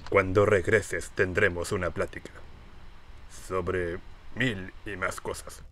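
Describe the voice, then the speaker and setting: A man acts out an evil old Sith lord for a parody fan dub. He is low and forceful, scolding in theatrical disbelief like a master fed up with his pupil. There is clear noise behind the voice. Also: little pitch movement, slow. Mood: impatient.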